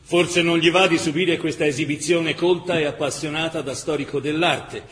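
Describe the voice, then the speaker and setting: A man narrating a dramatic historical chronicle in emphatic, measured radio-theatre style. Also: on the high side, steady tone, fairly bright tone. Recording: echoey reverberant hall, faint noise.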